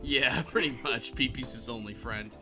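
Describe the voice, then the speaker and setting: A man with an average-pitched voice recaps a story in a loose, joking way, swearing and stumbling over his words. Game music plays clearly behind him. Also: normal speed. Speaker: podcast host. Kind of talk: casual chat recapping a visual novel.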